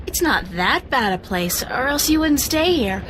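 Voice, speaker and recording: A woman's voice: high-pitched, big pitch swings, unhurried, noisy background. An actress playing a polite, curious young woman adventurer asking questions.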